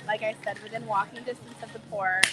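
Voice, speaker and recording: A woman travel vlogger with a cold, her voice a bit hoarse, chatting in a bubbly, gushing way to her live viewers from a bar. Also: medium pitch, fairly even intonation, brisk, heavy background noise. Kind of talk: casual live-stream chat with viewers. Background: music playing in the bar.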